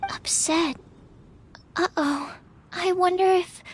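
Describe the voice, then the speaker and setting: A shy young alchemist from an anime game. Her voice is extremely high and girlish, with a timid, hesitant, apologetic delivery. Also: steady tone, slow, a little hiss or hum. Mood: anxious. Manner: hesitant, soft-mannered, apologetic. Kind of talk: anime video game voice acting.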